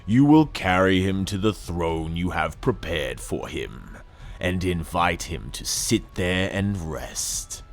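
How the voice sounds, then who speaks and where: A man giving a dramatic audiobook-style reading of fiction in the second person, brooding and theatrical, with deliberate phrasing. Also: sits low, animated. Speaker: narrator reading a fiction excerpt. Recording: voice over background music. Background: dramatic music.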